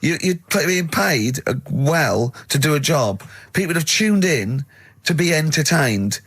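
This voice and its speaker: A man's voice: fairly high pitch, lively intonation, measured pace, fairly bright tone, breathy edge. A man hosting a comedy radio show with casual, needling banter. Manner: teasing, needling.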